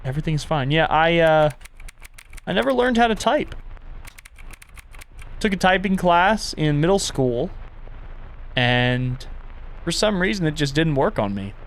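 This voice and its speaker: A man doing casual video game playthrough commentary, reading prompts aloud and reacting as he thinks out loud. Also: fairly high pitch, lively intonation, measured pace, pauses often. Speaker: gaming content creator and streamer.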